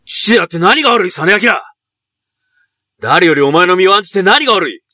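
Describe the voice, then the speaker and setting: An imperious samurai lord, bright and forceful. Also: male voice, high voice, lively intonation, fairly quick, no room sound, clean studio sound, exaggerated voice. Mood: anguished, pleading. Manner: archaic, imperious samurai speech. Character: proud middle-aged noble commander, anguished father of grown son. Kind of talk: Japanese period voice drama acting.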